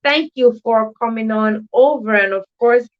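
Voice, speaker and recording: A woman's voice: fairly high pitch, normal speed. A woman hosting a livestream, greeting chat viewers with effusive, repeated welcomes. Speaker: livestream host of an educational channel. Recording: dull lo-fi livestream audio.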